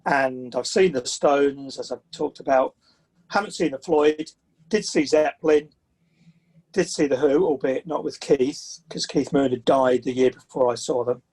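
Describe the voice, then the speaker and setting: A man's voice: mid-range voice, a little slow, a little mellow, slightly rough, rough recording. A man of sixty from the south of England with a southern English accent, chatting and telling anecdotes as a podcast interview guest. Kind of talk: conversational interview storytelling.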